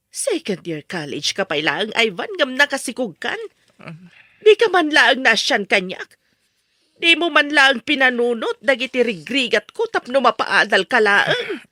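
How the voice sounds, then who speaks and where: A woman's voice: on the high side, very animated, measured pace, bright, forceful tone, dry recording, heavily acted. Stern radio-drama mother, middle-aged, reproachful and hurt, piercing tone, very dry close sound. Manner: emphatic, reproachful.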